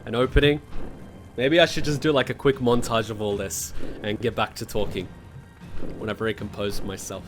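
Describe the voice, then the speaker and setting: A man's voice: lowish voice, steady tone, moderate pace. Rambling self-mocking man. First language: Serbian. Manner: rambling, self-deprecating, swears casually. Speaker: gaming guide creator. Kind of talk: casual gameplay commentary. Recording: music playing behind voice.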